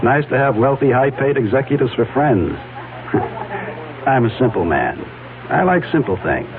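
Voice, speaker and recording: An actor playing a wry, suspicious friend who needles with jokes in a radio drama. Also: male voice, medium pitch, big pitch swings, measured pace. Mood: teasing, wry. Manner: joking, chuckling needling. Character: wry, teasing, suspicious male best friend. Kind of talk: 1970s radio drama acting. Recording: phone-like old radio, restaurant chatter behind.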